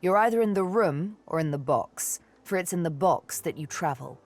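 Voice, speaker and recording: A woman's voice: on the low side, expressive. A woman playing a sly, bitter elf assassin and former slave in a video game, with a teasing, menacing way of telling things. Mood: dark, brooding. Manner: slow, teasing, menacing storytelling delivery. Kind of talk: video game character acting. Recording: music behind the voice.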